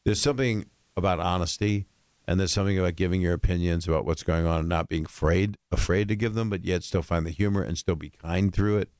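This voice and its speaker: Older male comedian, very low gravelly voice, loose rambling chat, dry studio sound. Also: fast, a little mellow, no room sound. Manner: rambling, slips into jokey put-on voices. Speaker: guest comedian. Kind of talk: casual podcast chat.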